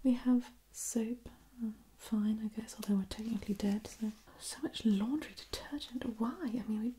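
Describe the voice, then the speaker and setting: A woman's voice: fairly high pitch, fairly even intonation, normal speed, dark tone, husky. A woman playing a puzzled, literal-minded undead vampire, musing hesitantly to herself in a whispered ASMR roleplay. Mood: musing, doubtful.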